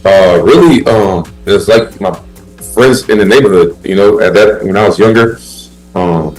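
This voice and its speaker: Deep-voiced laid-back man. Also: moderate pace, soft background music, low-quality recording. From Haiti. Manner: relaxed, rambling, laid-back with many fillers. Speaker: basketball player guest. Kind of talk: casual interview chat.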